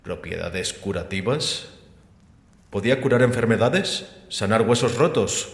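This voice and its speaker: A man acting in a Spanish audio drama as a calm, sceptical, erudite figure, explaining in a measured, gently mocking way with rhetorical questions. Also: on the low side, gravelly. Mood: sceptical curiosity. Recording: echoey hall with long reverb.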